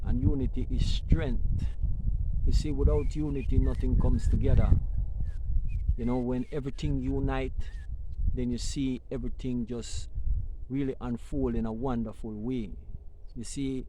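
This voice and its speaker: A man's voice: medium pitch, steady tone, normal speed, very noisy. A man, hosting a talk show and preaching about unity with repetition and rising emphasis. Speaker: host. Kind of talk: impassioned community talk.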